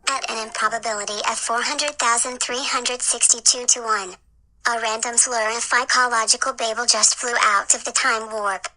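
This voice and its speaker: Woman playing a bright, stiffly formal female android. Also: a little high, steady tone, moderate pace, faint music behind, low-quality recording. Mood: dry, testy. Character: semi-sentient pan-dimensional female android, testy and literal.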